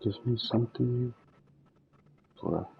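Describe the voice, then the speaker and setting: A man's voice: mid-range voice, takes it slowly, creaky, muffled recording, noisy background. A man streaming a video game, chatting casually and thinking aloud as he plays. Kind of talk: casual chat while gaming.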